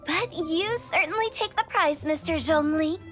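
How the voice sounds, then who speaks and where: A quirky young game character, playful and theatrical. Her voice is extremely high, with flowery exclamations and faint music underneath. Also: moderate pace, fairly bright tone. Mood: playful, flattering. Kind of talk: animated game voice acting.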